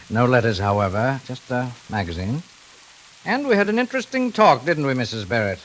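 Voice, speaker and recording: An actor playing a menacing intruder in a 1940s radio drama, mock-courteous, deliberate and quietly controlling. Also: male voice, mid-range voice, big pitch swings, lightly acted. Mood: slyly pleasant. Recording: hissy old radio recording.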